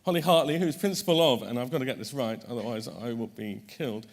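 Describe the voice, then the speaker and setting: A man's voice: medium pitch, fast, vocal fry. Chatty man chairing a conference panel. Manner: chatty, self-correcting, lightly joking.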